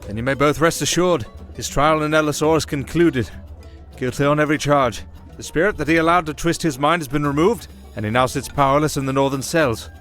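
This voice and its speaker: A man playing a fatherly archbishop in an audio drama, speaking formally with measured, gentle irony. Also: on the high side, soft background music, close to an everyday voice. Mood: grave, assured. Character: genial, wry, fatherly archbishop. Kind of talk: fantasy audio drama acting.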